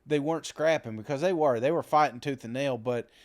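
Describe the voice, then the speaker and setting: A man's voice: mid-range voice, brisk, darkish tone. A man co-hosting a casual sports podcast, talking in a folksy, rambling, self-deprecating way. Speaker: podcast co-host.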